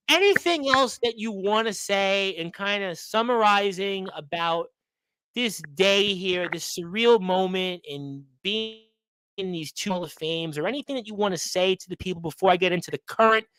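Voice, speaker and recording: A man's voice: high-pitched, a bit bright, clear, resonant tone, dry recording, rough recording. A man hosting a wrestling talk show and interviewing a guest, rambling and effusive, often repeating himself. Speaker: radio show host.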